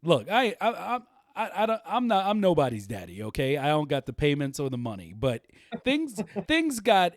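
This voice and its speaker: A man's voice: on the high side, wildly swooping pitch, moderate pace, no room sound, clean studio sound. Rambling man hosting a podcast. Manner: rambling, laughs easily. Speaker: podcast host. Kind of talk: casual livestream podcast chat.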